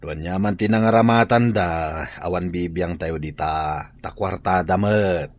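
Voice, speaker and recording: Fed up ilocano husband, lowish dry voice, scolding and nagging, radio play. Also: male voice, unhurried, no echo. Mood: exasperated. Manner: nagging, reproachful. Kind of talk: Ilocano radio drama acting.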